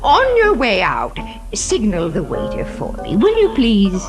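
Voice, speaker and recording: A woman's voice: medium pitch, wildly swooping pitch, a little slow. An actress playing a wealthy, imperious and fluttery society lady in a 1940s radio drama. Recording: vintage 1940s radio recording, music behind.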